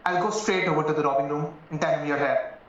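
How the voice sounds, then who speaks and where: Male actor as courteous brisk legal man, formal, high pitch, muffled roomy recording, radio drama. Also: fairly even intonation, lightly acted. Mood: deferential. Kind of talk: stage-style radio play acting. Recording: roomy, distant, muffled, music underneath.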